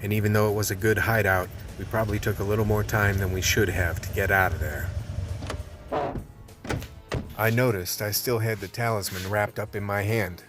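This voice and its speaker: A man's voice: on the low side, fairly even intonation, normal speed, recorded from a distance, lightly acted. A man playing a tough, gun-toting action hero who narrates his story in a gritty, colloquial radio play style. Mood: weary, grave. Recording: music bed under the voice.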